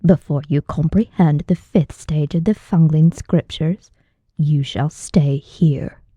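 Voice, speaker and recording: A woman voicing an imperious, mocking older male martial arts master in a slow, deliberate, haughty manner for an audiobook. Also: deep voice, warm tone, husky, dry recording, voice pushed down, heavily acted. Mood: stern, cold.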